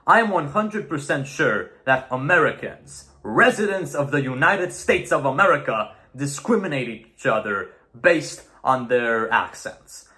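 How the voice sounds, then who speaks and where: Young Romanian-native man, middle pitch, candid video-essay monologue, swears for emphasis. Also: animated, a little slow, hardly any pauses, sharp tone. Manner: earnest and blunt, swears for emphasis. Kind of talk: opinionated monologue to camera.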